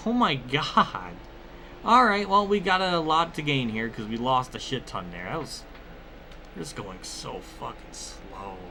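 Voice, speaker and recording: A man's voice: mid-range voice, lively intonation, unhurried, bright, forceful tone, a little hiss or hum. A man giving casual, rambling gameplay commentary mixed with personal storytelling, conversational and free with swearing. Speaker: gaming video creator.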